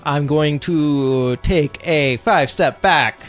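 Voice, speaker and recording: A man talks casually as a player in a tabletop game. His voice sits a little high, and music plays behind him. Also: slow pace, a bit bright.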